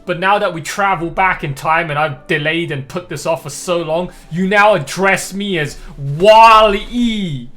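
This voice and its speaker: A man's voice: high-pitched, measured pace, few pauses, a bit bright. A man giving casual live game stream commentary in a blunt, slangy, sweary way. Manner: blunt, profane, slangy ranting. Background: faint game music.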